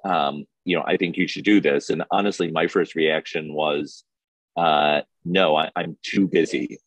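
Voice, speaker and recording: A man reminiscing casually in an interview as a film festival programmer, speaking haltingly with frequent restarts. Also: extremely low pitch, steady tone, no room sound.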